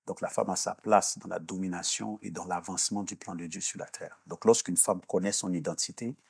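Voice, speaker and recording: A mature man from Haiti and Canada, answering as an interview guest in a deliberate, searching, preacher-like explanatory way. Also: sits low, steady tone, gravelly, darkish tone, breathy edge, no echo, studio quality. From born in Haiti, grew up in Canada. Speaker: minister and executive coach, interview guest.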